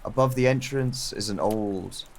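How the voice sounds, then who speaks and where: A man playing a brooding, reflective wanderer who narrates a solitary journey in a measured, solemn way for an audio drama. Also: lowish voice, a little hiss or hum.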